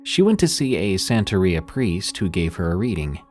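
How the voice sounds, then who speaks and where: A man narrating a scripted horror story in an expressive storytelling manner. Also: sits low, moderate pace, faint music behind.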